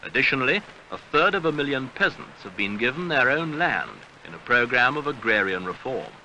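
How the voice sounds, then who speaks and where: A man narrates a vintage newsreel with grave, measured rhetoric. His voice is very sharp and forceful, with lively rises and falls in pitch. The old soundtrack carries a faint layer of noise. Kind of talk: scripted documentary newsreel narration. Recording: noisy archival 1970s newsreel soundtrack.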